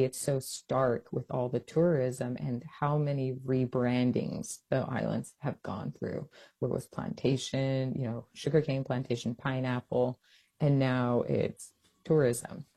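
A young adult woman from Hawaii, an author, chatting in an interview; she is animated, laughs often and uses lots of fillers. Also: very deep voice. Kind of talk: interview conversation.

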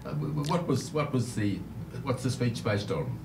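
A man's voice: mid-range voice, very animated, moderate pace, vocal fry, darkish tone, slight background noise, rough recording. A man hosting a radio interview, restarting his questions and affirming often. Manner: hesitant restarts, frequent affirmations. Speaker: radio host.

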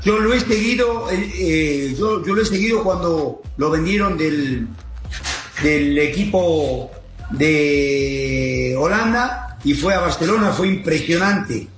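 A man's voice: fairly high pitch, lively intonation, slow pace, fairly bright tone, echoey room, soft background music, rough recording. A man talking casually as a guest soccer coach, explaining emphatically in a rambling way and checking that he is followed. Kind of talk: casual interview talk.

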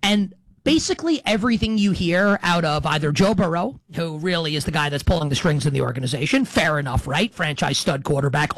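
A man's voice: on the high side, a bit bright, no echo, low-quality recording. Middle-aged male sports talk host, dry sound. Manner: conversational, digressive, repeats and qualifies himself for emphasis. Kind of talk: casual sports talk monologue.

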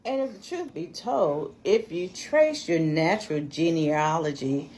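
Low-voiced woman, affirming co-host. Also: measured pace, a little mellow, a little hiss or hum. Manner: affirming, frequent murmured agreement. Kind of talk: conversational teaching.

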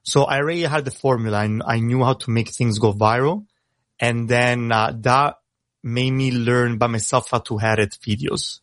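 A man with an Italian accent, speaking English as a second language, who talks fast as a rapper guest on a radio show and often repeats words as he starts a thought. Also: medium pitch, a bit bright, no echo. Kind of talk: casual radio interview.

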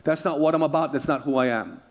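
A man's voice: a little high, fast talker. A man from Hawaii preaching a sermon as a pastor, emphatic, repeating phrases and prompting his listeners. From Hawaii, United States.

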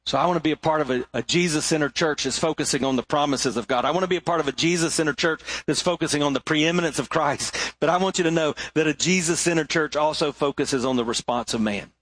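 A man's voice: on the high side, fast, few pauses, no room sound. Preaching male pastor, dry close sound. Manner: preaching, rising and falling between intense and hushed. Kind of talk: sermon.

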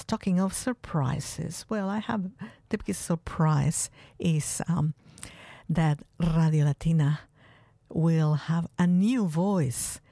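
A woman hosting a community radio music show from the studio, talking in a relaxed, friendly way with small hesitations. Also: low voice, no echo. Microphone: studio microphone.

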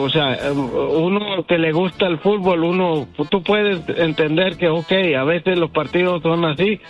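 A man's voice: fairly high pitch, lo-fi sound. A man calling in to a radio show, rambling with many restarts. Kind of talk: call-in radio chat.

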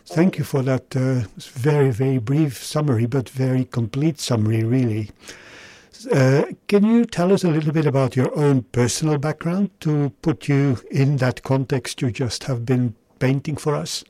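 A soft-spoken interviewer asks the guest to say more. The voice is mellow and the sound is dry and close. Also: medium pitch, moderate pace, dry recording.

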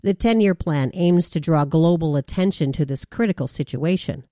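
A woman hosting a radio show, narrating a scripted feature in a measured, deliberate way. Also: deep voice, warm tone, no room sound, clean studio sound.

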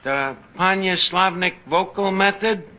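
An actor playing a brash, wisecracking middle-aged tavern manager in a 1950s radio comedy, with mangled grammar and snappy timing. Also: male voice, high-pitched, measured pace, rough recording. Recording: old radio broadcast with loud hiss.

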